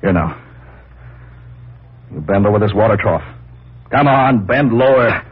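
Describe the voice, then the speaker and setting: An actor playing a stern, exasperated farm boss with a husky, gruff delivery in an old-time radio thriller, on a tinny vintage recording. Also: male voice, lowish voice, expressive, measured pace. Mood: firm, steadying. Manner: gruff, commanding.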